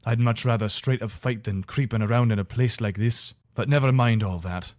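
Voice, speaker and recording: A man's voice: medium pitch, fairly even intonation, normal speed, a little mellow, dry recording, studio quality, close to an everyday voice. A man playing a loyal, wary soldier of an imperial legion in a video game, speaking in an earnest, soldierly manner. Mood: earnest, steady. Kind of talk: video game character voice lines.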